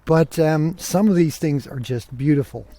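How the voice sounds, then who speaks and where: Mellow, higher-pitched man telling casual stories. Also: moderate pace, no room sound. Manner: conversational, acts out remembered dialogue. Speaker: podcast host. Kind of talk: casual solo podcast storytelling.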